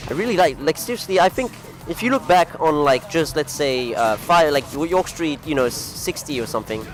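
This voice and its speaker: Guy doing casual fighting game commentary, bit higher voice, rambly and self-mocking, loud music in the back. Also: expressive, fairly quick, rough recording. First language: not English. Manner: chatty, rambling, laughs at himself. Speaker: tournament commentator. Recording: music playing loudly behind.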